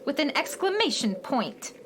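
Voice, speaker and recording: A woman's voice: high-pitched, sing-song, moderate pace, background noise. An actress playing a vain, bossy female hatchling in a children's radio comedy, boastful and dramatic. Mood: haughty curiosity. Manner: boastful, dramatic, critical of others' mistakes. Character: vain, bossy female hatchling seeking her grand origins.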